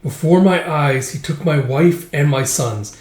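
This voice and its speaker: A man's voice: a little high, a little slow, a little mellow, natural voice. Man as an embittered old man, roomy echo. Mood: anguished. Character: embittered, fearful old man seeking vengeance.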